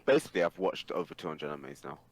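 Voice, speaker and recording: A man's voice: sits low, lively intonation, quick pace, gravelly. A man talking casually and emphatically on a podcast as an opinionated, sweary anime fan. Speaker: podcast guest or co-host.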